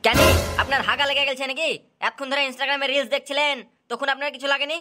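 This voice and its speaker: A woman's voice: high-pitched, level tone, fast, bright, forceful tone, faint music behind. A woman voicing a sassy young schoolboy customer in a comedy cartoon, with indignant, mocking delivery. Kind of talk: comic cartoon dubbing.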